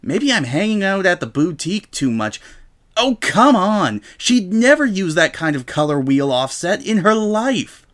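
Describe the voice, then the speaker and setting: A man's voice: sits high, very animated, a little slow, a bit bright, pitched above the performer's own voice, heavily acted. A man voicing a brash, boastful young female pegasus pony in a story reading, with a casual, grumbling, self-important manner. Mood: grumbling, incredulous.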